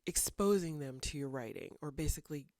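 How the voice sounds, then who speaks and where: A woman's voice: deep voice, a little fast, a little mellow, no echo, clean studio sound. A woman in her thirties hosting a writing vlog, a little congested from a cold, talking thoughtfully and meandering with fillers. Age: 30s. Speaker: writing channel host. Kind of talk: casual talking-head vlog.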